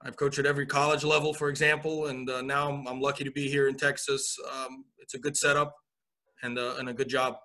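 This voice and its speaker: A man in his forties, a college soccer coach from Romania, telling his career story on a video call with relaxed pacing and frequent fillers. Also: mid-range voice, fairly quick. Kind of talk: video call interview answers. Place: indoor office.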